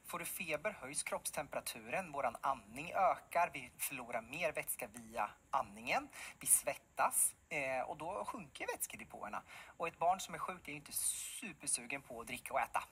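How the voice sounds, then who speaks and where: A man in his thirties, a pediatric specialist nurse, explaining in a relaxed way with many fillers, interviewed in a TV studio on a lapel mic. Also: medium pitch, slightly rough. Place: bright TV studio.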